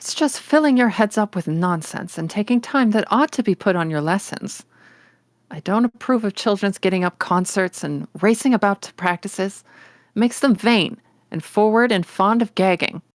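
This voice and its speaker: A woman's voice: mid-range voice, normal speed, no room sound, studio quality, close to an everyday voice. A woman voicing a stern, disapproving middle-aged spinster in a storybook, tart and no-nonsense. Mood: scornful.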